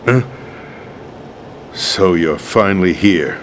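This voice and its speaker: An actor playing a grave, bitter, resigned president in a dramatic video game cutscene, speaking deliberately and theatrically. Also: male voice, low voice, lively intonation, a little hiss or hum. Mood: wary, resigned. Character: bitter, resigned male US president, middle-aged or older.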